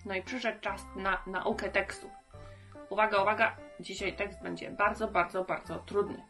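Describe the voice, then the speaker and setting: A woman's voice: medium pitch, steady tone, moderate pace, a bit bright, faint music behind. A woman in her thirties presenting conversationally to children as a puppet show host. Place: book-lined room indoors.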